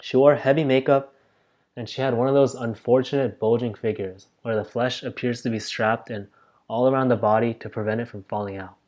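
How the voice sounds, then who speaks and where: A man's voice: medium pitch, steady tone, fairly quick, a little mellow, lo-fi sound. A man reading a children's story aloud as scripted narration. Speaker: volunteer reader.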